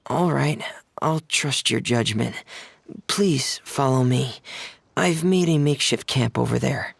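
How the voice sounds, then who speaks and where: An actor playing a polite, soft-spoken young male mechanic in a video game, earnest and measured in manner. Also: medium pitch, vocal fry, breathy edge, no echo. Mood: earnest, reserved. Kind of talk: video game cutscene acting. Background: game background music.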